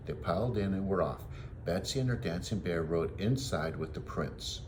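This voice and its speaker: A man, a teacher, reading a children's storybook aloud in an expressive way. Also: low voice, a little hiss or hum.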